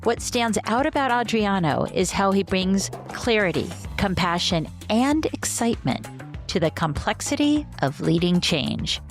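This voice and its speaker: A woman's voice: mid-range voice, sing-song, a little slow, soft background music. A woman hosting a leadership podcast, reading a scripted intro and chatting with a guest in an upbeat, enthusiastic way. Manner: upbeat, enthusiastic, warm questions. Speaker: podcast host and coach. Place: home office, video call.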